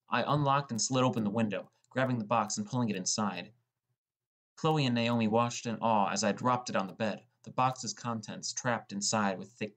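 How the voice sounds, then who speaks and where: A man's voice: on the low side, fairly bright tone. A man as a teenage boy narrator. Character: sullen, defensive teenage boy narrating his story. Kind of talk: scripted audiobook narration.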